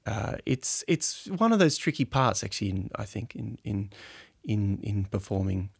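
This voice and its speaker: Gravelly, halting actor in an interview. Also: male voice, fairly low pitch, sing-song, no room sound, studio quality. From Turkey. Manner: halting, full of fillers and restarts. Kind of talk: interview conversation.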